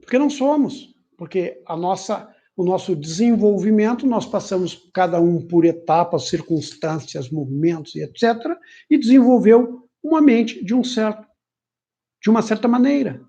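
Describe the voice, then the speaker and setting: Animated man, high and mellow. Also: moderate pace. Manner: animated, mimics whiny complaints in a higher voice. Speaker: personal development teacher. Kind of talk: informal lecture to camera. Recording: muffled lo-fi audio.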